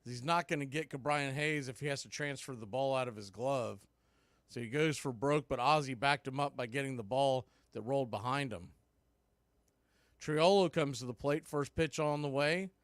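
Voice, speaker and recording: A man calling baseball play-by-play in a relaxed, chatty way with wry asides. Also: medium pitch, normal speed, no room sound, clean studio sound. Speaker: play-by-play announcer.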